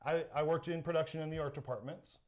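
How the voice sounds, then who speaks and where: A middle-aged American man chatting casually as an interview guest, telling personal stories in an animated way. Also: on the high side, fast. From Southern California, United States. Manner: animated storyteller, acts out quoted dialogue. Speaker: interview guest, sports announcer. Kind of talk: casual chat, personal storytelling.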